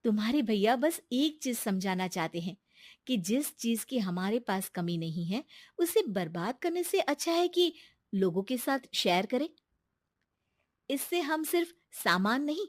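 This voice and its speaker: A woman voicing a caring, gentle sister-in-law in an animated story, speaking persuasively. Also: medium pitch, unhurried, no room sound, clean studio sound.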